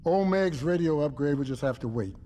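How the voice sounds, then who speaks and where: A man's voice: on the high side, lively intonation, brisk, mellow, slight background noise, lightly acted. An actor playing a wordy, fatherly resistance leader in a video game, with florid, folksy old-fashioned phrasing. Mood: encouraging, earnest. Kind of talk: video game cutscene acting.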